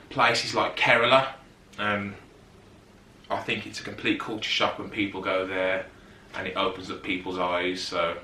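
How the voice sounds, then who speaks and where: A man's voice: medium pitch, pushed and bright, reverberant, distant mic. A man, chatting casually to camera from his settee and often rambling off topic as a fitness vlogger. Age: 30s. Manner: chatty, rambling, goes off on tangents. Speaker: fitness YouTuber. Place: sitting on a settee at home.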